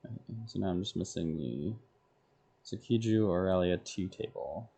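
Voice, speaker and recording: A man's voice: sits low, lively intonation, moderate pace, creaky, dark tone, slightly rough. A man streaming a video game, thinking aloud in short murmured fragments as casual solo commentary. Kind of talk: casual solo gameplay commentary, muttering to himself.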